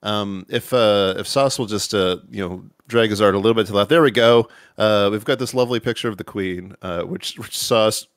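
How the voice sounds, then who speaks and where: A man's voice: on the low side, brisk, few pauses, no room sound, studio quality. A man hosting a charity livestream, announcing donation rewards in a rambling, filler-heavy way. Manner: rambling, many fillers and restarts. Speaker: livestream host.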